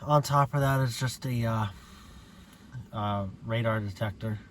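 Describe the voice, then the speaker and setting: Slow-talking American man with background noise. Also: mid-range voice. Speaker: amateur radio hobbyist giving a setup tour. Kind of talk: casual unscripted video walkthrough.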